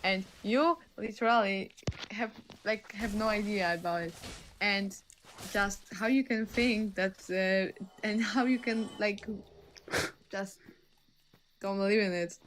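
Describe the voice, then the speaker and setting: A woman from Poland with a Polish accent, calling in to a talk show and debating in halting English. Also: mid-range voice, steady tone, slight background noise. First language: Polish and German. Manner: halting, restarts sentences, searches for words. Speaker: caller. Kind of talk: casual debate on a call-in show.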